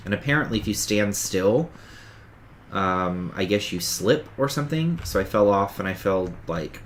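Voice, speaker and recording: Deep-voiced sniffly man narrating gameplay. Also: a little hiss or hum. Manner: rambling, self-correcting, talks through each game step. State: sniffly from a cold. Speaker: gaming streamer. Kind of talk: casual let's-play commentary.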